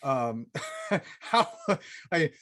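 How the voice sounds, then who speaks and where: A man talking as a guest on a podcast in a casual, chatty way with halting restarts. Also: medium pitch, big pitch swings, a bit bright. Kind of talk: conversational interview.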